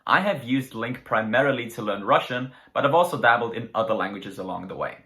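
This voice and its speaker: A young man from Austria, a German native speaker with an Austrian German accent, gives an upbeat screen-recorded tutorial on language-learning software. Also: medium pitch, fairly quick. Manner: upbeat, explanatory, occasional fillers.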